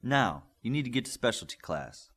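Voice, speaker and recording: A man's voice: mid-range voice, very animated, normal speed, fairly bright tone. A man reading the part of a blunt, seasoned superhero combat coach in an audiobook, in an instructive manner. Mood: firm, instructive. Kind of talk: audiobook character voice.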